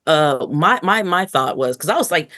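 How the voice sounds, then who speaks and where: A woman co-hosting a podcast in casual review chat, telling things in an expressive, story-like way. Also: sits low, normal speed, no room sound, studio quality. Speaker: podcast co-host.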